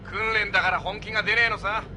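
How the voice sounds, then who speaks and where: Cocky high-voiced rookie pilot punk. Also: male voice, steady tone, quick pace, bright, forceful tone, a little hiss or hum. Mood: sulky, defiant. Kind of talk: Japanese anime audio drama acting.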